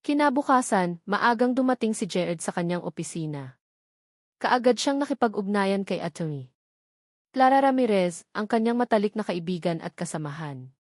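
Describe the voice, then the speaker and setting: A woman narrating a scripted romantic drama story in a measured, dramatic storytelling manner. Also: medium pitch, lively intonation, darkish tone, dry recording, clean studio sound.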